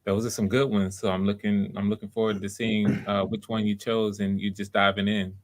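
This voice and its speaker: A man hosts an online audition casually. His voice is on the low side with little rise and fall, and his manner is polite and encouraging. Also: flat delivery, fairly quick. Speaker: audition host.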